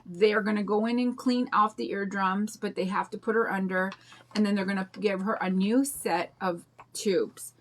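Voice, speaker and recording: Chatty, raspy older woman. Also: medium pitch, steady tone, normal speed, a little hiss or hum. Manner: chatty, rambling, greets viewers by name. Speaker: craft livestream host. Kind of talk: casual chat during a craft demo.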